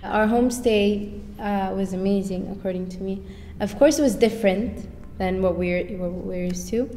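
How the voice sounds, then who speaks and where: An eighteen-year-old woman from Sweden with a Swedish accent, speaking in a measured, thoughtful way as an exchange student in a casual discussion. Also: medium pitch, dark tone. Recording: reverberant room, faint background noise.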